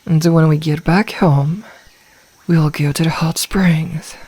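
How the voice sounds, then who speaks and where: A woman's voice: sits low, fairly even intonation, slow, warm tone. A woman voicing a tender, protective snake woman in a fantasy ASMR roleplay, coaxing and soothing, with a sly streak toward prey. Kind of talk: ASMR roleplay, scripted fantasy audio drama. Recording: long reverberant hall-like echo.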